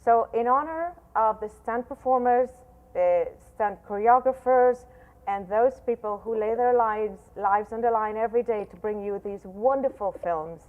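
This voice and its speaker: A woman's voice: a little high, normal speed. Chatty woman host on a tinny line. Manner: enthusiastic, chatty, restarts often. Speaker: show host. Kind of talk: interview hosting.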